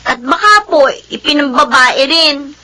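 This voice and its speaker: Casting: dutiful young Filipino daughter for radio drama, very high bright voice, sad, hesitant. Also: female voice, unhurried. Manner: hesitant, polite.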